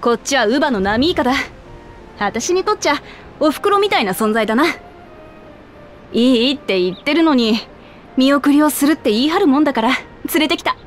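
An actress playing a brash, warm-hearted warrior princess in a game, speaking in a rough, boyish, candid way. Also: female voice, high-pitched, lively intonation, normal speed, long pauses, faint music behind. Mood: casual, frank.

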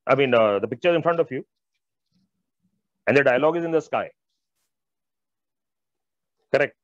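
A man's voice: medium pitch, animated, moderate pace, no room sound. A middle-aged man with an Indian accent explains technical material informally and emphatically on a video call, repeating phrases and addressing listeners directly. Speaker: audio expert presenter. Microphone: wired earphones on a video call. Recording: dull band-limited video-call audio.

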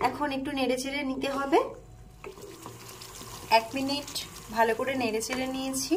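A woman with a Bengali accent who hosts a cooking channel, calmly talking through a recipe step by step in her home kitchen. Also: high-pitched, normal speed, slight background noise. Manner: calm, plain instructional tone, listing ingredients.

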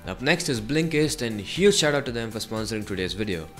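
A man's voice: mid-range voice, lively intonation, fairly quick. A man presenting a tech video as a scripted voice-over, reviewing in a narrator's style. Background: background music.

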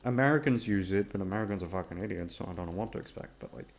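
Male host, low and fry-heavy, loose sweary self-deprecating ramble. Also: rapid-fire, faint music behind. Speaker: voice actor and channel host. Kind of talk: casual rambling outro chat.

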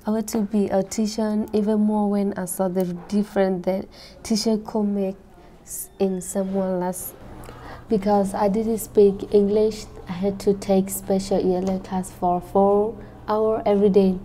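A teenage girl from Burma reads her personal story as a high school student, in careful, simple phrasing. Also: flat delivery, a little slow, warm tone, a little hiss or hum. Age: late teens.

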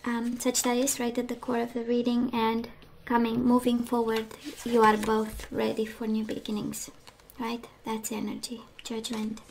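A woman giving an intimate tarot reading monologue, halting, with phrases that trail into whispers. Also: fairly high pitch, monotone, gravelly, darkish tone, a little hiss or hum, rough recording. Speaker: tarot reader.

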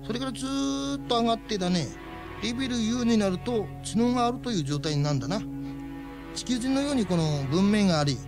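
A man playing a folksy, fussy alien official in a radio drama, explaining things in a colloquial way. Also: sits high.

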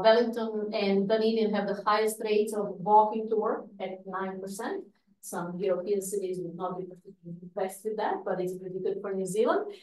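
A middle-aged woman from Croatia giving an academic seminar talk, lively, with frequent fillers. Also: mid-range voice. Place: seminar room. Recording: echoey room, speaker far from mic.

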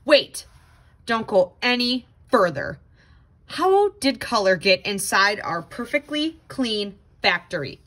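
Lady doing a kids book read aloud, slow pace, super swoopy and dramatic. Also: mid-range voice. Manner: expressive, dramatic, exclaiming. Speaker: storybook reader. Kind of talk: animated children's picture book read-aloud.

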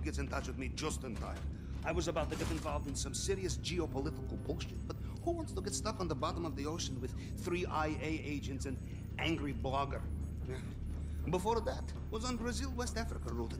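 An actor playing a boisterous, eccentric Russian submarine captain in a video game, rambling theatrically in clipped broken English with a Russian accent. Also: male voice, fairly high pitch, natural voice. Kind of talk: video game cutscene acting.

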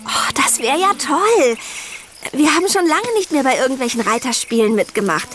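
A woman's voice: high-pitched, lively intonation, normal speed, fairly bright tone, a little hiss or hum. An actress playing an eager, curious, horse-loving teenage girl in a German children's audio drama.

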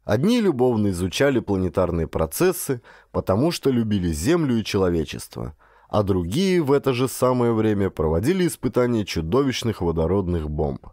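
A man reading a scripted first-person story as an audiobook narrator, with an actor's expressive delivery. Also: on the low side, brisk, no echo, studio quality.

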